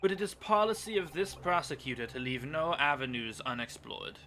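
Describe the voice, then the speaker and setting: A man's voice: fairly high pitch, expressive, a little fast, sharp tone, a little hiss or hum. A man voicing a haughty male prosecutor in a courtroom video game, formal and assertive.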